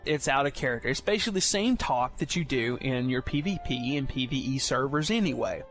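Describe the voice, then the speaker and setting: A man's voice: mid-range voice, lively intonation, brisk, fairly bright tone. A man presenting an informal explainer for new players in a conversational, explanatory way. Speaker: podcast segment presenter. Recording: music playing behind the voice. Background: music.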